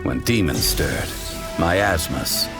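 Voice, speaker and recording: Deep, grave storyteller of legends. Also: male voice, animated, creaky, heavily acted. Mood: grave, ominous. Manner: grave, measured. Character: solemn male storyteller of ancient dark legend. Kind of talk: scripted dramatic storybook narration in a video game. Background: loud music.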